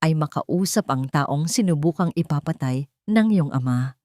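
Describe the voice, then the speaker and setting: A grave older doctor played by a woman narrator confides in an audio storybook with calm, measured gravity. The delivery is secretive and fairly flat, with little swing in pitch, in a close, dry sound. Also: no echo. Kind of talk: storybook character dialogue.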